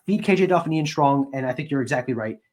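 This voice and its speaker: Male co-host for a sports podcast, average pitch, informal analyst delivery. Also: expressive, fast, darkish tone.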